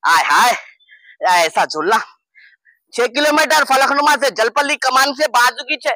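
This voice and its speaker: Bright, high-voiced Hyderabadi man. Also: measured pace, no echo, low-quality recording. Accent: Hyderabadi Hindi-Urdu. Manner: lively and joking, often calling out to viewers. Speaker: host showing a farm guest house. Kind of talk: promotional walkthrough. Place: outdoor garden and indoor hall.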